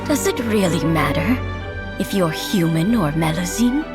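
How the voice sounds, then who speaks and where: A woman voicing a wise, kindly old woman in a video game, speaking in a warm, measured way. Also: fairly low pitch, expressive. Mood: tender. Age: older. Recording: game soundtrack music behind.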